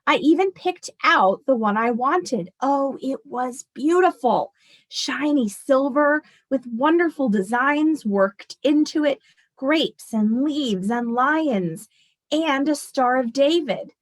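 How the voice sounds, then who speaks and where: A woman voicing a kindly, wistful old grandmother in a storybook, gently reminiscing. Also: sits high, lively intonation, slow, pitched above the performer's own voice. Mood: wistful, admiring.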